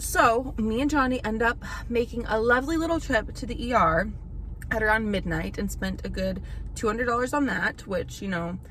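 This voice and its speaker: Woman vlogger, slightly hoarse, rambling casual chat, mid-pitched voice, faint background noise. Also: bright, forceful tone. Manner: rambling, with many fillers and restarts.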